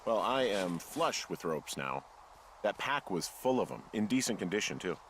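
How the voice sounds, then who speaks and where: An actor voicing an easygoing American fire lookout in a video game, conversational, joking and deadpan. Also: male voice, deep voice, normal speed, slight background noise. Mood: casual, curious. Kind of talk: naturalistic video game dialogue. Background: faint background music.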